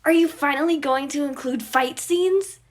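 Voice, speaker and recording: Woman playing a loud, hyper little boy. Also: high voice, moderate pace, pitched above the performer's own voice, close to an everyday voice. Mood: thrilled. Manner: loud, eager, interrupting, excitable. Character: hyper, wild-eyed, pushy chaotic small boy, fantasy side.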